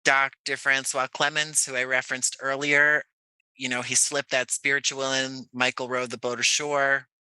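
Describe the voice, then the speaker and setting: A man's voice: mid-range voice, level tone, moderate pace, bright, forceful tone, clear, resonant tone, no room sound, poor audio. An American man from Jersey City talking reflectively as an interview guest, in a conversational, wordy way that often doubles back on itself. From Jersey City, United States. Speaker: podcast guest, audio playwright.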